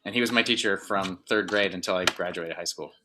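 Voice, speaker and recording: Middle-aged male guest, rambling, lots of fillers, sharp bright tone, lowish voice. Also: a little fast, slight background noise. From Northern Virginia, US. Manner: rambling, many "like" fillers and restarts. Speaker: guest drummer. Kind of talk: casual interview chat.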